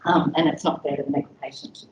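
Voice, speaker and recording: A woman's voice: deep voice, steady tone, brisk, distant mic, background noise. A woman presenting an educational session to health staff, chatty and conversational with frequent ums and you-knows. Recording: dull, echoey room audio from afar.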